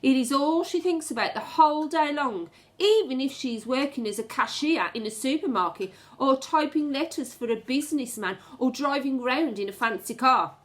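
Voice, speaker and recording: A woman teacher reading a storybook aloud to children in a dramatic, suspenseful way, with asides to her pupils. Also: high-pitched. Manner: expressive, suspenseful, deliberate storytelling.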